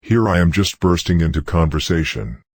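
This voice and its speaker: A dead young girl on a payphone in a horror story, acted out by a man. The delivery is chatty and eerie, very low and husky, recorded close and dry. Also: animated, normal speed, no echo. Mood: chatty, bright.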